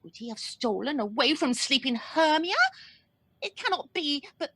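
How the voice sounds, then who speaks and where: A woman's voice: high voice, expressive, normal speed, a bit bright, no room sound. A woman playing a fierce, distraught young woman in a radio Shakespeare play, scolding and accusing in impassioned verse.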